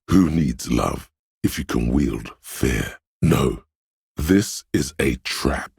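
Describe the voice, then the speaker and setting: Very deep, gravelly, menacing inner voice. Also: male voice, a little mellow, dry recording, studio quality, full character voice. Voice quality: gravelly, husky, breathy. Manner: slow, ominous, intense delivery. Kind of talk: dramatic video game voice acting.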